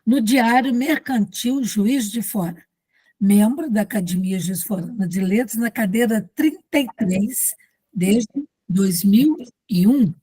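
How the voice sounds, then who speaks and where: A Brazilian woman hosting a literature course, reading a formal introduction of a guest with small slips and warm asides. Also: medium pitch, slow, breathy edge, no echo, poor audio. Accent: Brazilian Portuguese.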